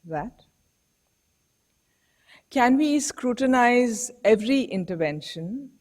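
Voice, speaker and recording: Female academic lecturer, slow deliberate reflective delivery, rhetorical questions. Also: medium pitch, a little mellow. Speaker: professor giving a public lecture. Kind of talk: public lecture. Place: lecture hall.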